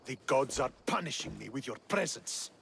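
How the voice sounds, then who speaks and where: An actor playing a bitter, proud Spartan commander in a video game, with a scornful, biting delivery. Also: male voice, mid-range voice, big pitch swings, normal speed, vocal fry, fairly bright tone, a little hiss or hum. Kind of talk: dramatic video game dialogue.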